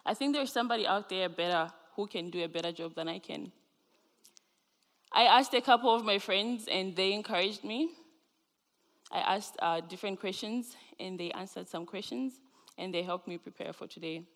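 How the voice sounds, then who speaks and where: A woman's voice: mid-range voice, normal speed. Young Zambian woman preaching in chapel. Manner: warm, earnest preaching with light laughs. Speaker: student chapel speaker. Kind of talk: chapel sermon. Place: large chapel hall. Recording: live chapel hall with long reverb.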